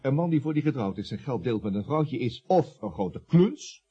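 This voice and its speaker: A man acting in a Dutch radio drama as a glib, excitable, persuasive estate agent who schemes and coaxes. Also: mid-range voice, normal speed, slightly rough. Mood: excited scheming. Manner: glib, coaxing, excitable with hesitations. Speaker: radio play actor. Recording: muffled old radio play recording.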